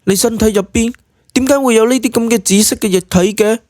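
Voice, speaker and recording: Man putting on a high-voiced, earnest young Cantonese woman. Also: fairly quick, darkish tone, dry recording, clean studio sound, natural voice. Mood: amazed. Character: young woman, earnest, curious, gently reassuring.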